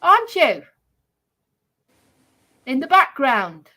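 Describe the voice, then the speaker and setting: A woman's voice: sits high, big pitch swings, slow, sharp tone. A woman live streamer delivering a confrontational monologue in an accusatory, emphatic, repetitive manner.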